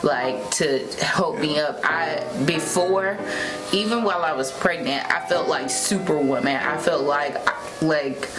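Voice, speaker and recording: A woman's voice: low-pitched, measured pace, low-quality recording. A young woman tells a personal story in casual conversation, acting out what was said as she goes. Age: young adult. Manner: animated retelling with acted-out quotes. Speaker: vlogger, new mother. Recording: loud music behind the voice.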